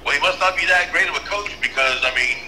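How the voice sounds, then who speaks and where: A man from San Antonio, Texas, a wrestling trainer speaking as the guest in a casual interview, in a laid-back, unhurried way. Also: fairly high pitch, reverberant, a loud crowd.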